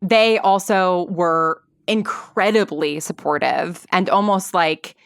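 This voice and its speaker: Woman, thirties, lowish pitch, dry close recording, casual interview guest, animated rambling talk. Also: slow pace, clean tone, dry recording. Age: 30s. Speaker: guest, audio drama writer.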